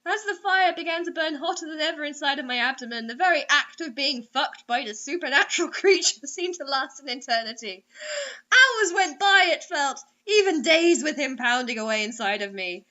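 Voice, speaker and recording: A woman with a bright, very high voice hosts a story reading. She delivers it theatrically and drops in sarcastic, mocking comments. The recording is dull and lo-fi. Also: animated, moderate pace. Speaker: host reading bad online fiction aloud.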